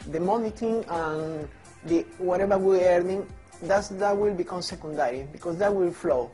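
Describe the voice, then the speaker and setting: A man, reflective and soft-spoken. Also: high voice, moderate pace. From United Kingdom. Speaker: customer testimonial. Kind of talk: testimonial to camera. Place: indoors, high-rise room with window view. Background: soft music.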